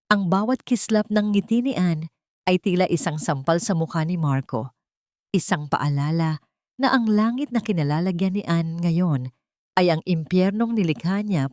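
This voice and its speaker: A woman with a fairly low voice narrates a scripted dramatic love story. The recording is close and dry, as in an audio drama. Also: a little mellow, no room sound.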